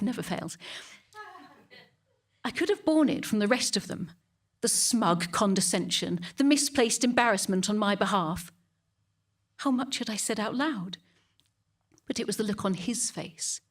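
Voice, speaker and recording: A middle-aged, middle-class English churchgoing woman in a comic stage monologue. Her voice sits high and swings dramatically up and down. She confides in the listener with snobbish, self-righteous indignation and heavy emphasis. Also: a bit bright. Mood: wounded, rising rage.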